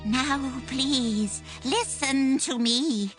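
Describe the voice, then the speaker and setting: A woman's voice: high voice, very slow, music in the background. A woman acting a humble subject pleading with her king in a Bible drama, deferential and coaxing. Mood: gentle pleading.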